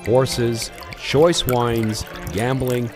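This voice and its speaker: A man's voice: mid-range voice, fairly even intonation, drawn-out words, music in the background. A man narrating a scripted old-style radio melodrama in a dramatic, theatrical storytelling manner.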